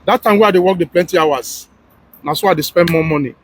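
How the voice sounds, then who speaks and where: A man's voice: on the high side, fast, slight background noise. A man with a Nigerian accent chatting casually and insistently, repeating himself to press his point. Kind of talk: casual, argumentative chat in Nigerian Pidgin and English.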